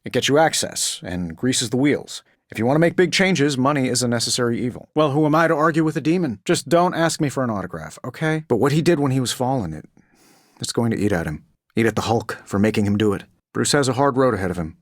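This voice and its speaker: An actor playing a cocky, middle-aged genius inventor superhero in a video game, glib and sardonic with constant quips. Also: male voice, mid-range voice, animated, fast, dry recording, studio quality, close to an everyday voice. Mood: regretful, serious.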